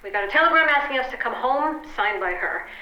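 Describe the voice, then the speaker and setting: Woman playing a bright-voiced determined sleuthing wife. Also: high voice, lively intonation, brisk, dull audio. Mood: earnest. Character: worried, determined wife turned amateur sleuth. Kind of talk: old-time radio comedy acting. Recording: echoey, band-limited radio-style sound.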